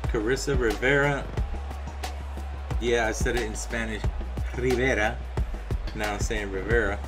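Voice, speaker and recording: A young man doing play-by-play commentary over a wrestling video game, chatty and casual between calls and getting excited on the big moves. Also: mid-range voice, unhurried, music in the background. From Puerto Rican background. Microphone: home microphone, voice-over on game footage.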